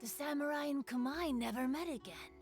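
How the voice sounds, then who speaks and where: A woman voicing a shy, wistful young spirit in a video game, soft, hesitant and breathy. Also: sits high, fairly quick, faint music behind. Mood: hesitant, sad.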